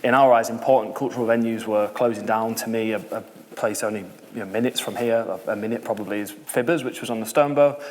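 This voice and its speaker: A man's voice: mid-range voice, fairly even intonation, fast, darkish tone. A man from York, a company co-founder, introducing himself on a panel with earnest passion and frequent "um"s. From York, England. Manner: earnest and passionate, many fillers. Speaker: managing director and co-founder on a panel. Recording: long hall reverb.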